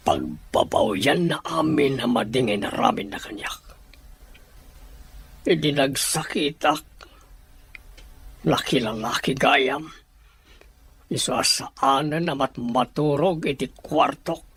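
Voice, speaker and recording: A man acting a firm, aggrieved male boss in an Ilocano radio-style drama, with a husky voice. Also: medium pitch, slow pace, pauses often, a bit bright, no room sound, exaggerated voice. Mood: firm, businesslike.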